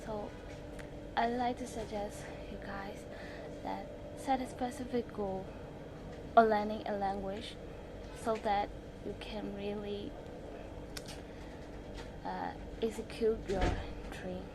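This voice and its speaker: A teenage girl, a native Burmese speaker with a Burmese accent, presenting study tips in halting, short broken phrases. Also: mid-range voice, fairly even intonation, heavy background noise. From Myanmar. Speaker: language-learning vlogger.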